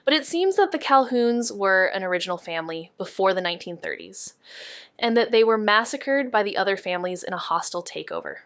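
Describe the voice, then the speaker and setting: A woman playing a serious, analytical investigator in an audio drama, speaking in a measured, precise way. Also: mid-range voice, animated, a bit bright, clear, resonant tone, no room sound.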